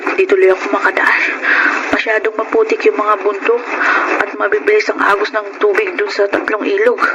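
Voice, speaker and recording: A woman's voice: mid-range voice, little pitch movement, a little fast, dull audio, very noisy, natural voice. A woman playing an earnest, polite poor schoolchild with a Filipino accent in a radio drama. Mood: worried. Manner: polite, respectful. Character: earnest, determined poor young schoolchild from the mountains.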